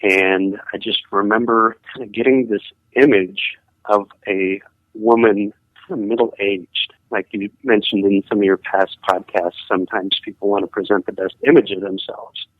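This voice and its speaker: A man calling in to tell a ghost story in a casual, halting way, full of hedging fillers, over the phone. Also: fairly low pitch, steady tone, no room sound. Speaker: caller sharing a ghost story. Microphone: telephone. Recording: tinny phone line.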